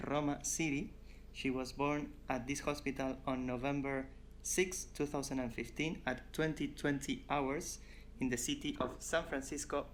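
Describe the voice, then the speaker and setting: A man in his thirties from Argentina, calmly narrating a home video in a formal announcing manner. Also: medium pitch, a little hiss or hum. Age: 30s. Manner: calm, formal, announcing details.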